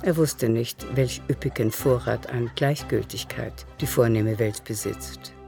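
A woman's voice: rumbling low, measured pace, creaky, warm tone, faint music behind. A woman narrating a literary radio drama as a storyteller.

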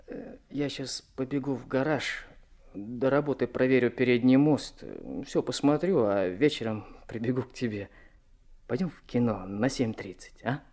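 An actor as a young newlywed driver in a radio play. He is plain-spoken, affectionate and easygoing. His voice sits at an ordinary male pitch. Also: normal speed, darkish tone, lightly acted. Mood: eager, fond.

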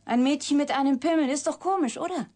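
An actress playing a reclusive, wounded young woman scientist in a radio drama, guarded yet confiding, speaking breathily and deliberately, often sinking to a whisper. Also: high-pitched, a little mellow, lightly acted. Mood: bitter, wounded. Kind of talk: German radio drama acting.

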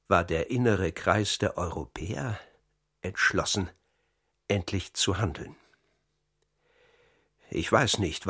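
An insider laying out a conspiracy in a radio crime drama. His voice is somewhat low and husky, his account measured and sober, sinking quieter at the gravest points. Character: man confessing an insider conspiracy, calm. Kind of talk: radio drama, confessional narration.

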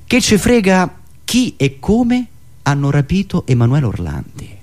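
A man touched by speech, delivering a theatrical satirical political monologue in a sardonic, rhetorical manner, with deliberate pauses and repetitions. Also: on the high side, huge pitch swings, darkish tone. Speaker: satirical monologist, radio show host.

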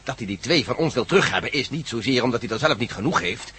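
An actor playing a shrewd, assertive strategist in a radio drama, husky and emphatic as he reasons aloud. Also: medium pitch, expressive, fast talker, fairly bright tone. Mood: scheming. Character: shrewd, assertive strategist plotting against an enemy.

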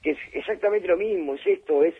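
A woman's voice: on the low side, quick pace, husky. A woman, speaking as a phone guest on live radio, thoughtful and hesitant with fillers and restarts. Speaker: phone guest, head of a gender commission. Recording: tinny phone line that drops out.

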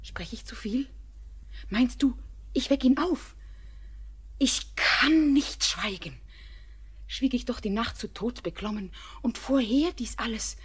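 Young bride, radio drama, husky breathy voice, unhurried, anxious devotion, poetic tenderness. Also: female voice, a little high, animated, full character voice. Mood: fervent, anxious. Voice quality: breathy, often near a whisper. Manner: tender, fervent, poetic delivery. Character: anxious, devoted young bride keeping watch over her beloved. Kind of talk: German radio drama acting.

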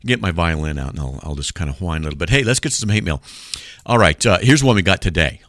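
A man with a deep voice hosting a call-in radio show, joking and sarcastic as he reads out mail. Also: sing-song, brisk, fairly bright tone, dry recording.

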